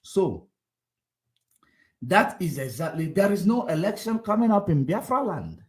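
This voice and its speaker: A man hosting a political livestream, combative and repetitive, swinging between loud outbursts and low muttering. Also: very animated, unhurried. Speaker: livestream host. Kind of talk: casual political commentary livestream.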